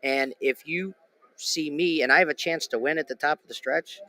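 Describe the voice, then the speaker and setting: Bright-voiced rambling male host. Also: a little high, normal speed, rough recording. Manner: animated, rambling with many restarts and fillers. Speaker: podcast host. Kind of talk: casual chat.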